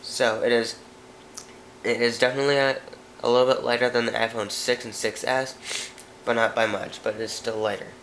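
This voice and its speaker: A man's voice: medium pitch, level tone, normal speed, a bit bright, a little hiss or hum, rough recording. A man with a stuffy nose hosting a casual tech video, talking through phone specs off camera and correcting himself as he goes. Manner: chatty, reads specs, frequent self-corrections. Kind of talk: casual product walkthrough.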